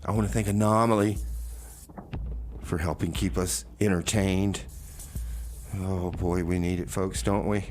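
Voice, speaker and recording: A man's voice: deep voice, fairly even intonation, unhurried, pauses often, darkish tone, a little hiss or hum. A man hosting a community radio show, talking casually and folksily, often hesitating and repeating words. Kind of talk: casual rambling on-air talk. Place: small radio studio. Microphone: studio microphone.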